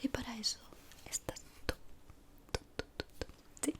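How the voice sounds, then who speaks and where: A woman doing an ASMR roleplay in a breathy whisper, playing a polite but exacting decorator of an eerie office maze. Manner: slow, deliberate, pausing, softly spoken.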